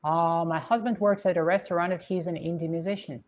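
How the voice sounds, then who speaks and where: A woman from Russia with a Russian accent, hosting a livestream and chatting in a reflective, unhurried way with plenty of fillers. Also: on the low side, steady tone. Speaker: livestream host. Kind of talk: casual livestream chat reading viewer comments. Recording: dull lo-fi stream audio.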